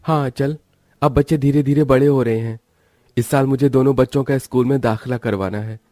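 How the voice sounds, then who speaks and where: A man's voice: mid-range voice, mellow, no echo, studio quality, voice pushed up, natural voice. A man voicing a hopeful, hard-working poor father who speaks earnestly in a cartoon story.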